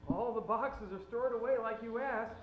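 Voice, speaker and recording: A man's voice: extremely high pitch, normal speed. A young man playing an eager, earnest detective sidekick in old-time radio comedy, making precise deductions. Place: theatre stage. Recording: echoey, dull stage recording.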